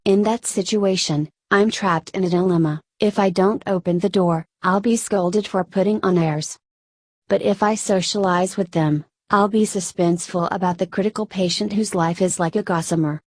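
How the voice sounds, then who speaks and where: A woman narrates a scripted story in the first person. Her voice sits a little on the low side for a woman. The sound is dry, with very little room in it. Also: fairly even intonation, moderate pace, no room sound.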